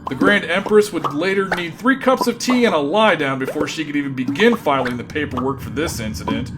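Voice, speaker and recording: A man's voice: fairly high pitch, fairly quick, background noise, lightly acted. A man playing a grand, tongue-in-cheek narrator of a scripted comedy, dramatic and mock-serious.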